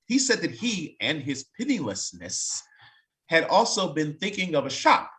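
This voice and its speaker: Animated, higher-pitched man reading a story aloud. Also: a little slow, rough recording. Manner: expressive, wry, breaking off to comment. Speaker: narrator in a staged reading. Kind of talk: dramatic reading aloud with casual asides.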